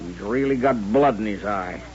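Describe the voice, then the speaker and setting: A man's voice: on the low side, normal speed, natural voice. Man playing a folksy saloon bartender, muffled recording. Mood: concerned, gossipy. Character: plain-spoken frontier saloon bartender. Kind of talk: old-time radio western acting. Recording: muffled old radio recording with hum.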